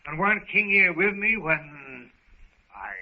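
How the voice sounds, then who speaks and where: An actor playing a vicious, boastful Cockney pirate ringleader in a 1930s radio serial, on an old recording. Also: male voice, a little high, expressive, unhurried, dull audio. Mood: angry, defiant. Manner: menacing, boastful, slangy.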